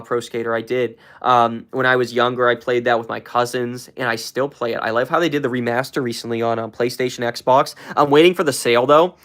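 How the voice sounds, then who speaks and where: A live stream host chatting with viewers, rambling with many fillers and repeats, and shooting way up in pitch to hype up gifts and shout out names. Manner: hyped, shouting out viewers, jumps way up in pitch when thanking for gifts.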